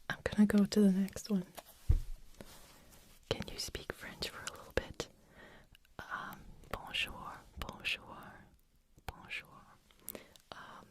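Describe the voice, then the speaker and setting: Whispering woman hosting an ASMR livestream. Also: medium pitch. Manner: soft whispering, chatting with viewers while making trigger sounds.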